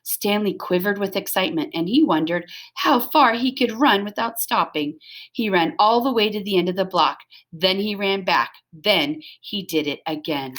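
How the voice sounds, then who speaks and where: Expressive woman reading a children's storybook. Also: mid-range voice, normal speed. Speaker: storybook reader. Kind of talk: expressive children's read-aloud narration.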